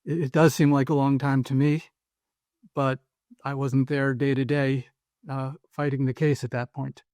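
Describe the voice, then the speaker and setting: A man, a former prosecutor, answering interview questions in a careful, measured way with frequent "um"s. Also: mid-range voice, flat delivery, darkish tone, dry recording.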